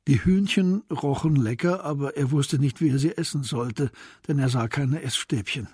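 A man's voice: medium pitch, darkish tone, slightly rough, no echo. A man narrating a literary radio drama as a scripted storyteller. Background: faint music.

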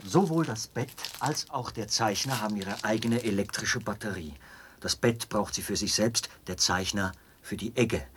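An actor playing a zealous young penal colony officer and judge in a German radio drama, explaining in precise, formal standard German with a husky voice. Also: male voice, on the low side, moderate pace, fairly bright tone, slight background noise, lightly acted. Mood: matter-of-fact, instructive.

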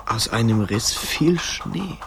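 A man's voice: mid-range voice, expressive, slow pace, gravelly, noisy background. A man narrating a scripted fantasy radio play in German as its storyteller. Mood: composed, storytelling.

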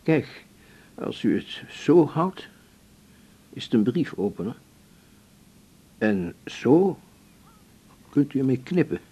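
An actor playing a courteous, composed older male patient in a radio drama, speaking gently and haltingly. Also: big pitch swings, slightly rough, full character voice. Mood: gentle, grateful. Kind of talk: 1980s Dutch radio drama acting. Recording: faint rumbling background noise.

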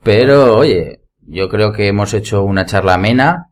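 A man's voice: lowish voice, moderate pace, slight background noise. A man chatting casually as a podcast guest. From Spain. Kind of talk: casual chat.

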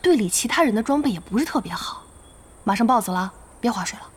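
A lively young female team leader. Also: a little high, fast talker, husky. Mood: placating. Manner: practical, placating, bossy. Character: brisk young female team leader, peacemaking, mildly exasperated. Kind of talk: Chinese audio drama acting.

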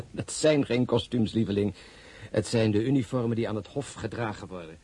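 An actor in a radio drama playing an affectionate, courtly crown prince with a coaxing, formal manner. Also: male voice, on the low side, normal speed, warm tone, breathy edge. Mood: coaxing, anxious.

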